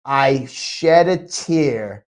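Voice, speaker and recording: A man, an MMA promoter, chatting casually on a podcast, sniffly from a cold and talking in an excitable, rambling way with lots of restarts. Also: mid-range voice, drawn-out words. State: sniffly, getting over a cold.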